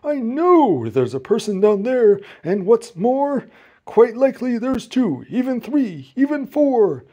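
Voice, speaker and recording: A man voicing an earnest, gentle elephant in a storybook, protective and worried, with emphatic, pleading delivery. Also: very high voice, big pitch swings, takes it slowly, muffled recording, pitched above the performer's own voice, heavily acted.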